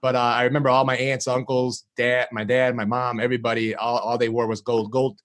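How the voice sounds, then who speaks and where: A man's voice: mid-range voice, little pitch movement, a little fast, fairly bright tone. A man born in Greece whose first language is Greek, chatting as a podcast guest and often repeating words as he starts a thought. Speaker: podcast guest, marketing agency founder. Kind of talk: casual chat.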